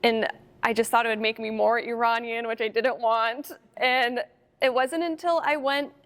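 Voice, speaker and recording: Reflective woman with a higher voice. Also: steady tone, normal speed, a bit bright. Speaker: artist on a panel. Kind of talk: casual panel conversation.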